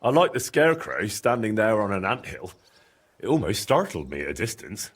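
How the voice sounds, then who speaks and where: An actor playing an earnest young medieval adventurer in a video game, direct and questioning, with a slightly husky voice. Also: male voice, on the low side, sing-song, moderate pace. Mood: casual. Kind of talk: video game dramatic dialogue.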